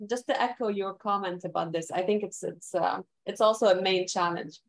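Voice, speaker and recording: A woman's voice: mid-range voice, normal speed, vocal fry. A young woman from Lebanon whose first language is Arabic, giving thoughtful, self-correcting answers as a guest researcher in an interview. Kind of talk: academic interview.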